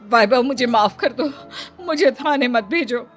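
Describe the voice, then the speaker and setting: A woman's voice: a little high, a little slow, faint music behind, natural voice. A woman playing a scheming, easily flustered stepmother in a Hindi cartoon, with melodramatic delivery. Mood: tearful pleading. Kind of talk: Hindi cartoon story dialogue.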